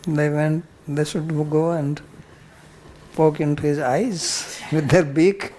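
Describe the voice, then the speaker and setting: An older man teaching a spiritual class and answering students' questions in a calm, plain-spoken way with dry humor. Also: a little high, slow pace, a little hiss or hum.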